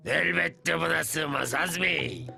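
A man's voice: fairly high pitch, takes it slowly, gravelly, exaggerated voice. A man voicing a cocky, pompous, scheming mischief-maker boss in a children's cartoon. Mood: boastful scheming. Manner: pompous, theatrical. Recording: music behind the voice.

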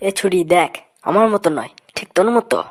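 A woman's voice: fairly low pitch, lively intonation, fairly quick. Woman voicing a grumpy, blunt village father. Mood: exasperated. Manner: blunt, scolding, slangy. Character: grumpy, foul-mouthed village father of a small boy. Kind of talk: comic Bengali cartoon dubbing.

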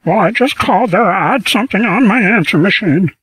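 A man's voice: high voice, lively intonation, brisk, fairly bright tone, slightly rough, no room sound, heavily acted, low-quality recording. A scambaiter playing a lonely, rambling old man who feigns confusion on the phone.

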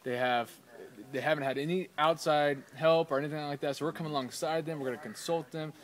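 A man's voice: a little high, fairly even intonation, fast talker. A middle-aged man explaining plainly in a casual interview outdoors in a garden. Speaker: field staff.

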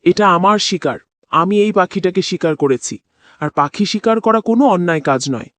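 A man's voice: high-pitched, normal speed, a bit bright, no echo. A man voicing a proud, assertive young hunter prince in a fairy-tale cartoon. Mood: defiant. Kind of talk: cartoon voice acting.

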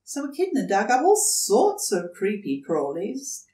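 An older woman reading a children's story aloud, deliberate, dramatic and expressive, in a living room. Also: medium pitch, echoey room, distant mic. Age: older, 60s or above. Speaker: storybook reader. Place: indoors, furnished living room.

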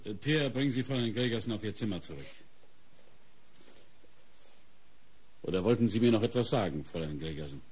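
An actor playing a sober, methodical middle-aged police inspector in a radio crime play, raspy and measured as he reasons aloud. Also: on the low side, animated, darkish tone. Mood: calm, firm. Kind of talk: German radio crime drama acting.

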